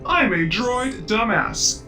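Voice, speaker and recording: A blunt little droid talks very slowly in a sing-song way, cheeky as can be. The pitch is high and jumps around, with clear music underneath, as in a sci-fi radio play. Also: female voice, lively intonation. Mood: cheeky defiant. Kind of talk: sci-fi audio drama acting. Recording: music behind the voice.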